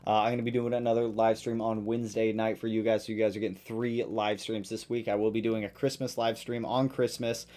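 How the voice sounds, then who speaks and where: A man hosting a live stream, reading out viewer questions and answering them in a loose, chatty way. He greets regulars with sudden loud, high bursts of excitement. His voice sounds a little worn after a long session. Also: fairly quick, few pauses. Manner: reads viewer comments aloud and answers them in a relaxed, chatty way; greets viewers loudly with sudden jumps in pitch. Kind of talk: casual live stream Q&A about cars.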